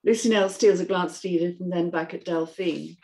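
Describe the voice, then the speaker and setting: A woman's voice: lowish voice, steady tone, a little fast, dark tone, low-quality recording. A woman reading scripted stage directions as narrator in a screenplay table read. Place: indoor room at home, on a video call.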